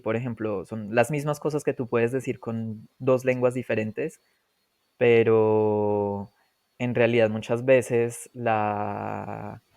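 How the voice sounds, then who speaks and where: A Colombian man in his twenties, a podcast guest telling his story in a relaxed way, laughing often and pausing to reflect. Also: mid-range voice, no room sound, clean studio sound. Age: 20s. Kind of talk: casual interview storytelling.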